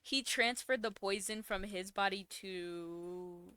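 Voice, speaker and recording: A woman's voice: medium pitch, slow pace, sharp tone, clear, resonant tone. A woman gamer giving casual, slangy live reactions, blunt and often incredulous. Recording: game music behind commentary.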